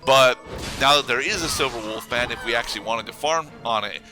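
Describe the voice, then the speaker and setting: A man giving casual video game commentary, explaining things in a halting, rambling way. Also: medium pitch. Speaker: let's play commentator. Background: video game music.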